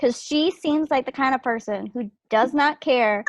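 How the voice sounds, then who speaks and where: A woman's voice: high-pitched, moderate pace, no room sound. A woman chatting casually and giving her opinion over a slightly dull video call. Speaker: participant giving script feedback. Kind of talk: casual chat. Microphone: video call microphone.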